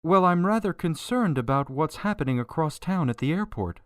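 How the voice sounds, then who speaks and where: A man's voice: on the high side, animated, moderate pace, fairly bright tone, no room sound, clean studio sound. A man playing a worried, grieving governor in a radio drama, speaking in a polite, hesitant way. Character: worried, grieving male governor seeking help.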